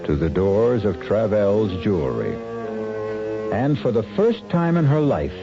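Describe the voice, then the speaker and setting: A man hosting and narrating a suspense radio drama in a measured, ominous storytelling manner. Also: lowish voice. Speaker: host and narrator. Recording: dull lo-fi old radio recording. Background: music.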